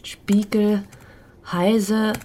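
An actress playing a businesslike female investigator in a radio play, reporting in a clipped, husky voice. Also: medium pitch, level tone, very slow, warm tone, a little hiss or hum. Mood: matter-of-fact. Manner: matter-of-fact, clipped. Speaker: actor in a radio drama.

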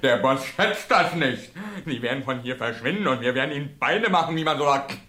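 A man's voice: medium pitch, lively intonation, moderate pace, pushed and bright, echoey room. An actor playing a smug, menacing gangster henchman who threatens with mock politeness in a radio crime drama. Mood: mocking menace. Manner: mock-polite, repeats catchphrases.

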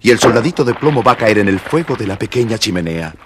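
A man's voice: lowish voice, expressive, fast, slight background noise. A man with an Argentine accent narrating a scripted children's tale, speaking directly to young listeners. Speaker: storyteller, narrator.

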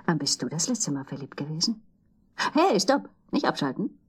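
An actress in a radio drama playing an infatuated woman in her forties, breathy and whispery, tender and confiding. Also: deep voice, sing-song, normal speed, exaggerated voice. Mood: teasing. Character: infatuated woman of forty-four, tender and girlishly giddy. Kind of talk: intimate radio drama acting.

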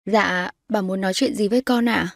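A woman's voice: mid-range voice, moderate pace, gravelly, dry recording, lightly acted. Woman voicing fifteen-year-old girl, meek, fearful, polite, earnest pleading, audio story, dry recording. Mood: hesitant, polite.

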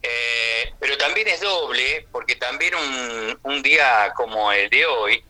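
A man's voice: mid-range voice, takes it slowly, bright, forceful tone, poor audio. An older man with a Rioplatense Argentine accent, speaking as a theatre actor on the radio in a chatty, rambling, conversational way with frequent question tags.

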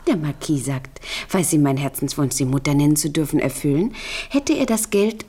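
A young woman of sweet deference and cool ambition. Her lowish voice moves in lively swings, polite and insinuating. The style is radio play acting. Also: normal speed, breathy edge. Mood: deferential, calculating. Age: young adult.

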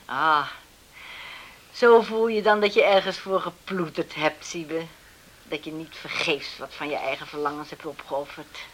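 A woman's voice: low-pitched, measured pace, fairly bright tone, slight background noise. A woman playing a worried, middle-aged widowed farm mother in a Dutch radio drama, earnest and confiding. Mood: wistful. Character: worried widowed farm mother dreaming of settled married sons.

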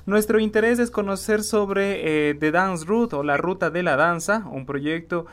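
A man hosting a radio interview, asking formal, measured questions. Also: high-pitched. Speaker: radio host.

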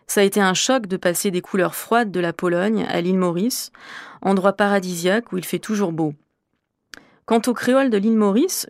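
A woman from Normandy, a French teacher, answering interview questions in a measured, clear, explanatory way. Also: medium pitch, no echo, studio quality. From Normandy, France.

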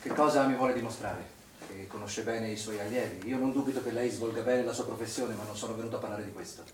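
A man's voice: lowish voice, fairly even intonation, quick pace, a crowd in the background, lightly acted. An actor playing a suspicious, confrontational father in a naturalistic stage drama, speaking in an insistent, accusatory, curt way. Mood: irritated, insistent. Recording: roomy, distant, noisy stage recording.

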